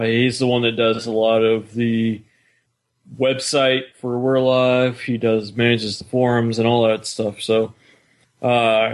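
A man talking casually as a podcast co-host, with an informal, easygoing manner. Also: mid-range voice.

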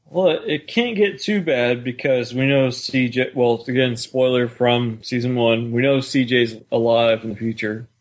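A man's voice: mid-range voice, steady tone, moderate pace, hardly any pauses. A man co-hosting a podcast, chatting casually as a fan about a story. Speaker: podcast co-host.

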